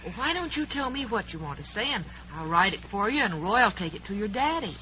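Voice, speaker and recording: An actress playing a brisk, teasing but kindly woman who runs a cafe in an old-time western radio drama. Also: medium pitch, very animated, slight background noise, close to an everyday voice. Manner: wry, bossy, kindly. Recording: muffled old radio recording.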